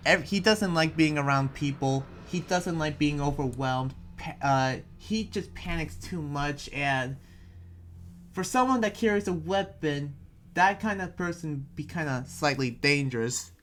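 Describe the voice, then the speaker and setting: A man playing an uneasy, apologetic town guardian in an improvised anime game roleplay, explaining in a halting, hedging way. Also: fairly high pitch, a bit bright, a little hiss or hum.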